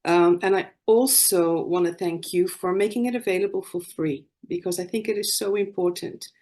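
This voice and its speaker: A woman in her sixties with a Dutch accent gives a talk at an online conference. She tells her story earnestly and often restarts a phrase. Her voice sits a little low and comes through a video call. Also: moderate pace, darkish tone. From Netherlands. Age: 60s. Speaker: conference speaker, nurse.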